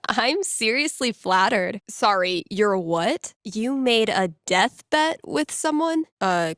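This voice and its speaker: An actress playing a wry, playful young radio DJ who tells fortunes to callers in a conversational, mock-solemn way in a video game. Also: female voice, medium pitch, vocal fry, fairly bright tone, clear, resonant tone, no echo, clean studio sound. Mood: amused, curious. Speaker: radio host. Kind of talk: video game dialogue as late-night radio call-in show.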